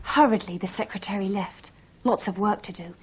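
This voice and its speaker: A woman acting a dreamy aspiring novelist who narrates herself in a deliberate, self-dramatising way, in a radio drama. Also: medium pitch, noisy background, close to an everyday voice. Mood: brooding, literary. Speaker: actor in a radio play. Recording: dull 1980s radio recording.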